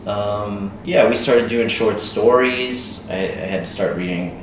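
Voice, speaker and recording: An American man chatting casually as an interview guest, telling his story in an informal, halting way. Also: fairly low pitch. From United States. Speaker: interview guest, language lecturer. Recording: roomy, distant mic, noisy background.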